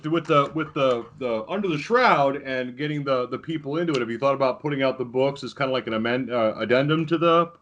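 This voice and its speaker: A man hosting a casual podcast interview, wording his questions in an informal, halting way. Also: medium pitch, a bit bright, slight background noise. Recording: dull, lo-fi sound.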